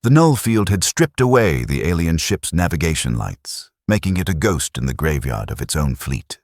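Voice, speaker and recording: Man narrating sci-fi, extremely deep voice, husky, dramatic, dry close sound. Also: normal speed, no echo. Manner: dramatic, expressive storytelling. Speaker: audiobook narrator.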